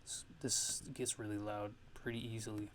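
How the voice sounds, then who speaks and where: A man narrating a casual software tutorial as a music production host, speaking haltingly as he thinks aloud. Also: fairly low pitch, level tone, very noisy.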